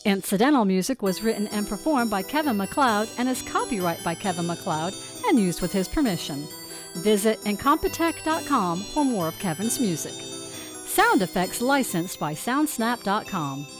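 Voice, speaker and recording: A woman announcer reads scripted end credits with lively, varied intonation. Music plays clearly beneath her voice. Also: medium pitch, moderate pace. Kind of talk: scripted closing credits read. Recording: music under the voice.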